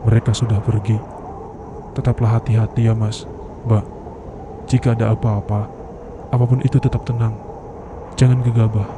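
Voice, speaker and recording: A man playing a calm, commanding mountain tracker who gives firm, cautioning instructions in an animated horror story. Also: fairly low pitch, normal speed, long pauses, natural voice. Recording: noisy background ambience.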